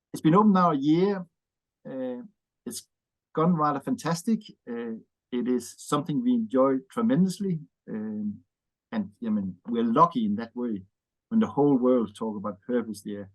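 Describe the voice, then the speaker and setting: A middle-pitched man in his fifties speaks English with a Danish accent. His talk rambles easily, with many "uh"s and "yeah"s tagged onto the end of his sentences. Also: low-quality recording. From Denmark. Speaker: interview guest, wine bar owner. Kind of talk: casual interview chat.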